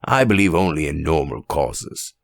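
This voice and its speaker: A man voicing a dignified, rational judge telling a story in a grave, measured and formal manner for an audiobook. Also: a bass voice, expressive, gravelly, no room sound, heavily acted. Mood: grave, emphatic. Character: dignified, weighty, rational male French judge.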